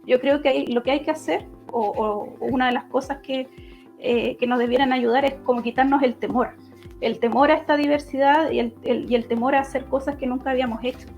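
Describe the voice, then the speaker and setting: A woman with a Chilean accent giving explanatory answers in an online debate, halting and often restarting her phrases. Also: sits high, fairly even intonation, a little mellow. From Los Ríos region, southern Chile. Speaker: political candidate and law lecturer. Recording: dull lo-fi online audio, music behind.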